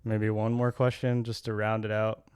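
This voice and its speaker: A man's voice: on the low side, completely flat delivery, normal speed, darkish tone, smooth, dry recording. A young adult man co-hosting a casual podcast, rambling and correcting himself as he talks. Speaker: podcast co-host.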